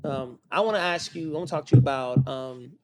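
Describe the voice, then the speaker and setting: A man's voice: medium pitch, fairly quick. A man from Oakland, hosting a casual conversational interview in an emphatic, slangy, encouraging way. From Oakland, California. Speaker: podcast host.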